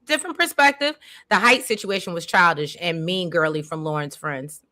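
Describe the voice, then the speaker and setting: A woman's voice: fairly low pitch, pushed and bright. A woman co-hosting a casual recap show, reading viewer comments aloud and chiming in agreeably. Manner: reads comments aloud, agreeable, quick affirmations.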